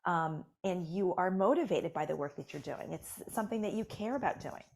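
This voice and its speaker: Encouraging woman guest with a lower voice. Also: lively intonation, a little fast, creaky. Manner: warm, encouraging, lots of "you know". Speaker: author guest. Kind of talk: conversational interview.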